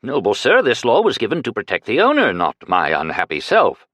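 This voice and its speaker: A man plays a fawning, frightened auctioneer in an audiobook scene. The voice climbs well above his usual register and lands harder, pleading with lords and droning out bids in a slow chant. The sound is dry and close. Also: medium pitch, huge pitch swings, fairly bright tone, husky, no echo, exaggerated voice. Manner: pleading, wheedling, sing-song chanting. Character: nervous groveling male auctioneer, fawning.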